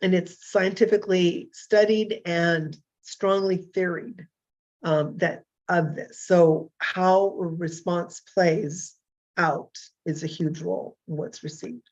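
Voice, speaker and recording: A hoarse woman in her fifties hosting a casual solo talk show, rambling and self-deprecating with many fillers and restarts. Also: fairly low pitch, fairly even intonation, slow, a little mellow, lo-fi sound. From California. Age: 50s. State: hoarse from illness.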